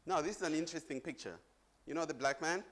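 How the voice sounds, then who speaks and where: A man's voice: on the high side, quick pace, heavy background noise. A middle-aged man from Zambia giving a chatty conference presentation on stage, joking with the audience. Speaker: conference speaker.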